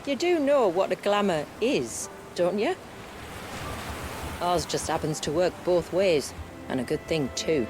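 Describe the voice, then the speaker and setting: An actress voicing a confident, wry village guide in a video game, with an assured, teasing storyteller's manner. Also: female voice, fairly low pitch, lively intonation, measured pace, music in the background. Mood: playful, assured. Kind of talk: dramatic video game acting.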